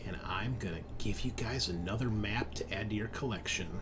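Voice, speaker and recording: A man's voice: on the low side, brisk, background noise. A man acting as game master, casually narrating a tabletop roleplaying game.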